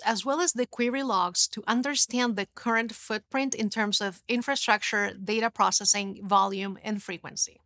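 A woman's voice: a little high, no echo. Woman presenting a scripted tech lesson. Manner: clear, even, instructive delivery. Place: studio. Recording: dry studio sound.

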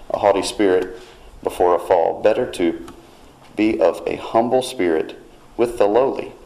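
A man preaching a sermon in church, retelling a scripture story in a measured way and reading verses aloud with rising emphasis. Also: fairly low pitch, darkish tone, rough recording.

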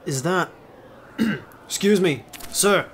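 Man playing an earnest, higher-voiced fantasy ranger. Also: expressive, takes it slowly, slight background noise. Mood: wary, polite. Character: earnest young male ranger, wary and scrappy. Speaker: amateur voice actor. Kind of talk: fantasy audio drama acting. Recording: music behind the voice.

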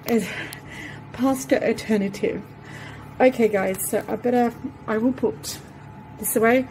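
Slow-talking woman vlogger. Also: on the high side, steady tone, a little mellow, soft background music, low-quality recording. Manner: rambling and chatty, with many fillers. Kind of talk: casual vlog talk. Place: home kitchen, indoors. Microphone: handheld phone camera.

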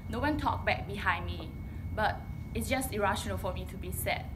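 A woman's voice: medium pitch, moderate pace, background noise. Young woman giving a club speech. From Myanmar. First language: Chinese. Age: 20s. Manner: earnest, personal, with occasional restarts. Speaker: student speaker. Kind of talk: prepared speech at a speaking club. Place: lecture room, in front of a projection screen. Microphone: far camera, no handheld mic.

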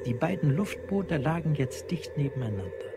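A man's voice: fairly high pitch, very animated, normal speed. A man narrating a scripted science-fiction story in a dramatic style. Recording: loud music behind the voice.

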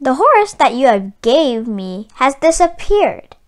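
A woman's voice: sits high, sing-song, slow, exaggerated voice. A woman voicing a serious, insistent male warrior in a children's storybook. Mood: troubled.

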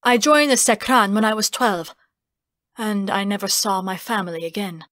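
A woman's voice: mid-range voice, moderate pace, fairly bright tone, no room sound, close to an everyday voice. A woman plays a solemn mentor from a fantasy audiobook. The delivery is firm and serious, in a dry recording. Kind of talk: fantasy audiobook character acting.

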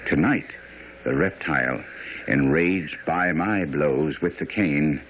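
An actor playing a masterful, grave detective who explains things deliberately and analytically in a radio drama. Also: male voice, extremely low pitch, slight background noise. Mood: coolly explaining. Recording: phone-like, narrow-band radio recording.

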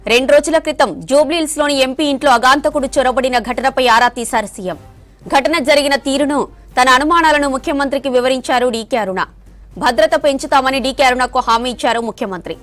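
A woman's voice: high-pitched, brisk, fairly bright tone. A woman news anchor, reading a scripted bulletin. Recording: music bed under the voice. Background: news music.

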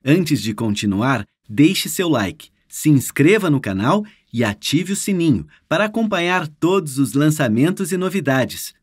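A Brazilian man delivers a scripted promo as an announcer. His fairly high voice swings in a lively way and has a husky edge. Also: unhurried, few pauses, no echo, clean studio sound. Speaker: announcer for an audiobook publisher.

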